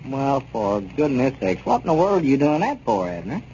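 A man's voice: mid-range voice, very animated, normal speed, dark tone, natural voice. A man playing a genial, sensible small-town neighbor who talks plainly and reassuringly in a 1930s radio comedy serial. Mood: surprised. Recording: dull, noisy old 1930s radio recording.

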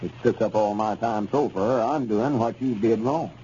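A man's voice: on the low side, moderate pace, warm tone, close to an everyday voice. An actor playing an exasperated, folksy storekeeper with a rural Arkansas Southern accent, fussing at his partner in a 1930s radio serial. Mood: weary fussing. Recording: dull, noisy 1930s radio recording.

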